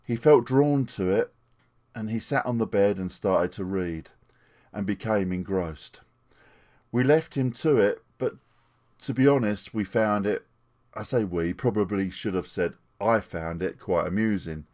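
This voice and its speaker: A man's voice: fairly low pitch, a little slow, a little mellow. A retired pastor in his sixties from England, telling his life story to camera in an earnest, plainspoken way. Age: 60s. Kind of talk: personal testimony to camera. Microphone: desktop microphone.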